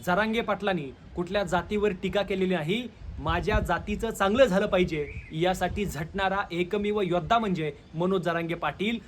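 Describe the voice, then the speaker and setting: A man with a high-set voice and a Maharashtrian Marathi accent gives opinion commentary to his audience. His delivery is rhetorical and confrontational. Slight background noise sits under the recording. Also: moderate pace, few pauses. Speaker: video commentator, channel host. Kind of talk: opinion commentary addressed to viewers.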